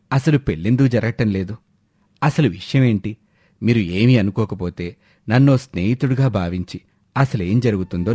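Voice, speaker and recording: A man playing a calm, determined village helper in a moral story, speaking in a measured, reassuring way. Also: lowish voice, dry recording, studio quality, natural voice. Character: calm, determined village man who helps troubled friends. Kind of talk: dramatised moral story dialogue.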